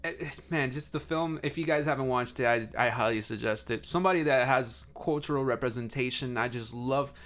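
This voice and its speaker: A man with a mid-pitched voice, speaking as a podcast co-host. He talks casually and colloquially, sounding appreciative of what he discusses. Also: brisk, hardly any pauses, fairly bright tone. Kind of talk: casual chat.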